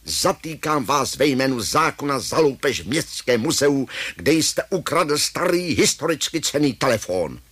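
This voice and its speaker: Man playing a forceful, stern policeman. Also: mid-range voice, sing-song, husky, no room sound. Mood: commanding. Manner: commanding, formal. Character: stern, official policeman making an arrest.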